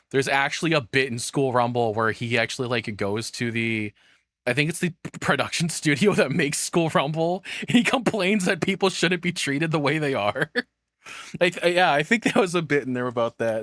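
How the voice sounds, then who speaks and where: A man's voice: mid-range voice, expressive, moderate pace, fairly bright tone, no echo. A man doing casual, rambling reaction commentary as a streamer, informal, profane and opinionated, speaking into a close microphone.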